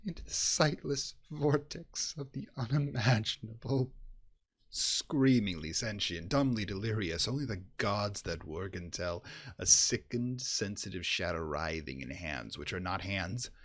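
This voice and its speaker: A man giving a dramatic, theatrical horror reading in an intense, brooding manner, swelling from hushed passages to loud ones. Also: on the low side. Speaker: reader of a horror story.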